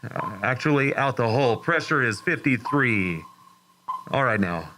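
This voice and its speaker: A man plays a cool rocket test pilot in a science fiction radio play. His medium-pitched voice is wry and matter-of-fact, in short clipped phrases. There is clear noise over the recording. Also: animated, unhurried, bright, forceful tone, poor audio. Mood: focused. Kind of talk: old-time science fiction radio drama acting.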